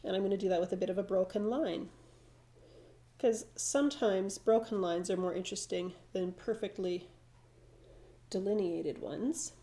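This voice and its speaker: A woman's voice: mid-range voice, moderate pace. A middle-aged woman with a slightly froggy, stuffed-up voice from hay fever, thinking aloud as she demonstrates watercolor painting. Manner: relaxed, thinking aloud while painting, frequent restarts. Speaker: watercolor instructor.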